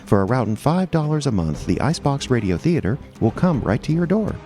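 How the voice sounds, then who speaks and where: An actor playing a chipper old-time radio announcer, delivering sponsor pitches in an emphatic, mock-earnest sales style. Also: male voice, big pitch swings, brisk, warm tone, faint music behind, natural voice. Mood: upbeat, selling.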